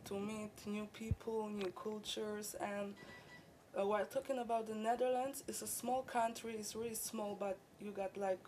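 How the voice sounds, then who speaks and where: A woman's voice: medium pitch, little pitch movement, normal speed, a little mellow, a little hiss or hum, low-quality recording. A young woman from Poland with a Polish accent, answering interview questions as an international student, filling her talk with "like" and "you know.". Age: young adult. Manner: chatty, many "like" and "you know" fillers.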